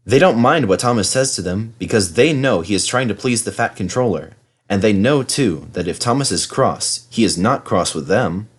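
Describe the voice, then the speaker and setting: A man's voice: on the low side, animated, normal speed, husky. A man narrating a children's story as a scripted read-aloud. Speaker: storybook reader.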